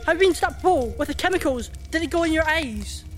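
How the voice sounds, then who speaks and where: A woman's voice: sits high, expressive, fast, soft background music. A woman playing a frightened, caring young woman in a horror radio play, pleading anxiously with a stammer.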